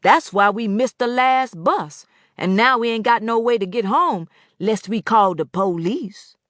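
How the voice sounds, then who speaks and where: A woman voicing a folksy, complaining older southern man who talks in a loud, drawling rural dialect. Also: mid-range voice, animated, a little slow, no room sound, studio quality, lightly acted.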